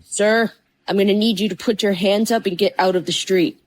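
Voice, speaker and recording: A man's voice: high voice, steady tone, normal speed, background noise, natural voice. An actor playing a tense, officious male police officer in an audio drama. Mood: commanding.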